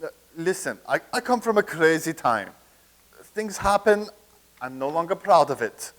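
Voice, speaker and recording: A man's voice: fairly high pitch, very animated, moderate pace, fairly bright tone. A man playing a flustered, stammering soldier. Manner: stammering, nervous restarts. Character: flustered male soldier from a past war. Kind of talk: staged comedy reading.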